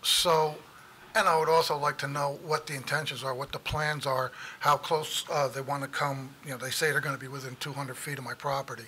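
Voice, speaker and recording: A man steps up to comment at a town meeting and speaks haltingly, with many fillers and pauses. His tone is bright. Faint room noise hums behind him. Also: flat delivery. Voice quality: low voice. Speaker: resident speaking at public comment.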